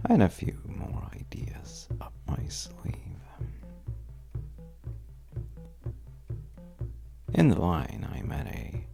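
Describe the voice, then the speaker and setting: A man playing a charming, shifty stranger at a bar in an intimate audio roleplay, telling wry, teasing tales in a hesitant, gravelly way. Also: dark tone, heavily acted. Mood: wry storytelling. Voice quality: very deep, gravelly, breathy at times. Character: well-dressed, untrustworthy charming male stranger at a bar, bragging playfully.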